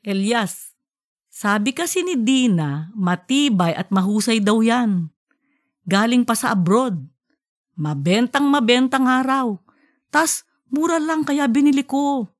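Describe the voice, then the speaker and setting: Woman voicing a high-pitched, excitable, caring wife. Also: takes it slowly, long pauses. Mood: fretful. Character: caring, excitable middle-aged wife, soothing her husband. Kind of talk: audiobook character voice.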